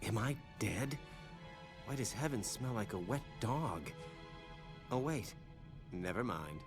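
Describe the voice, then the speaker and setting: An actor playing a flippant young superhero in a game, joking in a casual, slangy way. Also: male voice, fairly low pitch, animated, slow pace, over music. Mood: dazed, joking.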